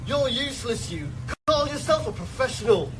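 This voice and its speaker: A man's voice: very high voice, very animated, normal speed, distant mic, over music, rough recording. An actor playing a belligerent male pig farm boss in a radio drama, blustering. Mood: scornful anger. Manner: bullying, blustering.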